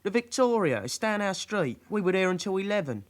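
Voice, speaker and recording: An actor playing a nervous, evasive young lad in a radio drama, guarded and deferential under questioning. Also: male voice, high voice, sing-song, brisk. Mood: guarded, stubborn. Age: young adult. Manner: guarded, deferential, repeating "sir".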